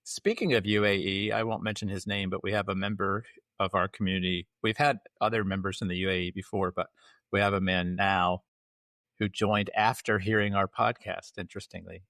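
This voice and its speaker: A man hosting a podcast interview, asking earnest, measured questions. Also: sits low, little pitch movement, fairly bright tone, no room sound. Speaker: podcast host.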